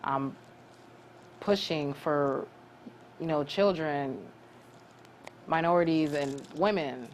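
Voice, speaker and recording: A young woman from Cameroon, a graduate student, answering interview questions earnestly with many pauses and restarts. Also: deep voice, slight background noise.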